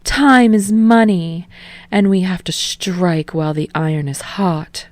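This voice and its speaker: A woman voicing a brisk, commanding female manager in a fiction reading. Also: on the low side, darkish tone, dry recording, close to an everyday voice. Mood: brisk insistence. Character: brisk businesslike woman manager, pushing for speed.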